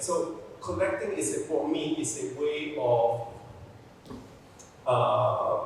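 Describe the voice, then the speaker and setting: A man from the Philippines, an art collector, telling students how he started collecting. He talks haltingly, with restarts and "uh" fillers. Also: mid-range voice, distant mic, slight background noise. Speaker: art collector, guest speaker. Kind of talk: personal talk to students. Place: museum gallery hall. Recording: echoey hall, speaker off-mic.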